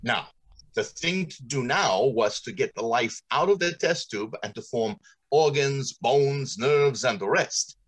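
A man's voice: mid-range voice, expressive, measured pace, husky. A middle-aged man playing a pompous, courteous factory general manager in old-time radio drama style, theatrical and businesslike. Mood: enthusiastic storytelling. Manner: theatrical, businesslike, hesitating with ums.

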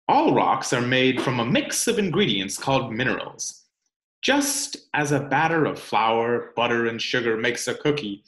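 A man in his thirties reading a children's picture book aloud in a deliberate, explanatory way, with dramatic emphasis on key words. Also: mid-range voice, fairly bright tone. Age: 30s. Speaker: read-aloud presenter. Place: small room at home, indoors. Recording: roomy home recording.

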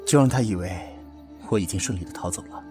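A man's voice: lowish voice, fast talker, over music, natural voice. A man playing a defiant, self-sacrificing captive devoted to his friend, in a Republican-era Chinese military radio drama. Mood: resigned pleading. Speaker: amateur audio drama voice actor.

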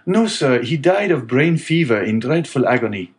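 A man voicing a calm, resolute young sailor in grief, speaking earnestly in a raised voice. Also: mid-range voice, moderate pace, pitched above the performer's own voice, close to an everyday voice. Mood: grave.

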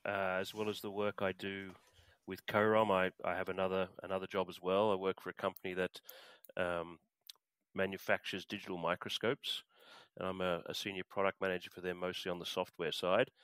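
A man's voice: deep voice, fairly even intonation, normal speed, a bit bright, clean tone, no room sound. A middle-aged Australian man chatting as a podcast guest, hesitant with plenty of fillers and restarts. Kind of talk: casual interview chat.

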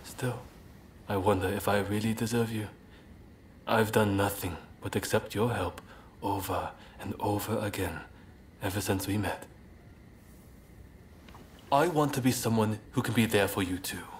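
A male actor plays a thoughtful samurai rebel in a game cutscene. His voice sits fairly low and his phrasing is polite and measured. Clear ambient sound runs behind the words. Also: slight background noise, close to an everyday voice. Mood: tender, vulnerable. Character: earnest, soft-hearted samurai rebel leader, devoted and thoughtful. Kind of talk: video game cutscene acting.

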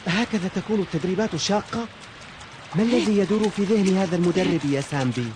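Skeptical teenage kung fu pupil, rapid delivery, played by a man. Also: high voice, noisy background, natural voice. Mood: scheming. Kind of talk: Arabic cartoon dub.

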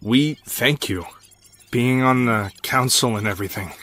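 A man's voice: medium pitch, unhurried, fairly bright tone, faint music behind, lightly acted. An actor playing a concerned, deferential male space traveler in a sci-fi audio drama, serious and slightly hesitant.

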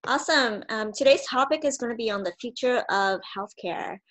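A woman's voice: on the high side, moderate pace, a bit bright. A woman hosting a podcast, interviewing a guest in a conversational style. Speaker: podcast host.

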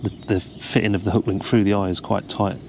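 A man, calmly explaining step by step how to tie a fishing rig, recorded outdoors. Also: on the low side, a little fast, creaky, background noise. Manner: relaxed, explains each step while tying. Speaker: carp fishing angler demonstrating a rig. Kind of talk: casual step-by-step tutorial. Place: outdoors by the water, seated over a tackle box.

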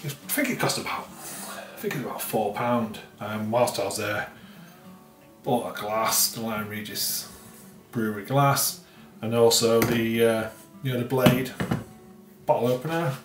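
A man's voice: mid-range voice, fairly even intonation, unhurried. A middle-aged man talks through a beer tasting in his home kitchen, circling back on his words with plenty of ums and repeats. The sound is roomy and a little distant from the camera mic. Faint music plays underneath. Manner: rambling, repeats himself, many fillers. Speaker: beer reviewer. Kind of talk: casual beer tasting review.

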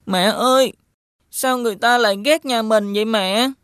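A woman voicing a polite, sorrowful young village boy in an animated folktale, speaking with hesitant deference. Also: a little high, normal speed, no echo, close to an everyday voice. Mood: troubled.

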